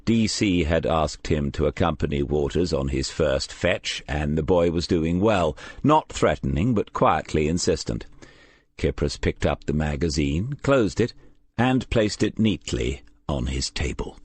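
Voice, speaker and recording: A man's voice: very deep voice, lively intonation, unhurried, husky, no echo. A man reading crime fiction as a scripted audiobook narration.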